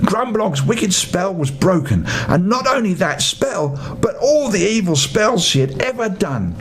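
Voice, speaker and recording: A man's voice: high voice, sing-song, a little slow, slight background noise. An older man reading a children's story aloud as a grandfatherly storyteller, in a theatrical, playful way.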